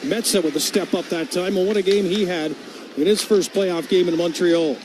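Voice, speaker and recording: A man calling live hockey on radio as play-by-play announcer, in clipped running description that gets excitable on big plays. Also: sits high, moderate pace. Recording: clear arena noise behind. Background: hockey arena noise.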